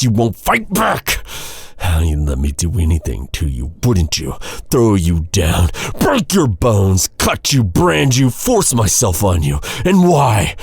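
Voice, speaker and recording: An actor playing an embittered, vengeful knight in a dark fantasy audio roleplay, brooding and intense, with halting dramatic delivery. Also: male voice, lowish voice, vocal fry, husky, no room sound, full character voice. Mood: furious, accusing. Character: vengeful, embittered male knight interrogating his captive enemy.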